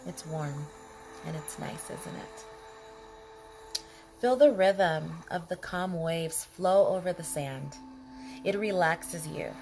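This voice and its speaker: Lady doing a calm guided body scan, really slow and soothing, clear music playing behind her. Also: fairly low pitch. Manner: calm, soothing, unhurried, with short phrases and pauses. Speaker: guided meditation leader.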